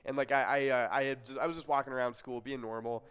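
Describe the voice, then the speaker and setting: A man's voice: mid-range voice, fast, smooth. Rambling young male bingo co-host. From Texas. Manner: lively, rambling, full of "like". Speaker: co-host of a live bingo stream. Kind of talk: casual chat and game announcing.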